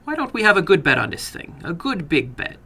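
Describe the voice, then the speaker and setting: Man voicing a high, soft, sly little foreign gambler. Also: big pitch swings, breathy edge, heavily acted. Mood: coaxing, sly. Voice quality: soft, toneless. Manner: slow, courteous, coaxing, broken English. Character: funny little foreign gambler, soft-spoken, slyly menacing.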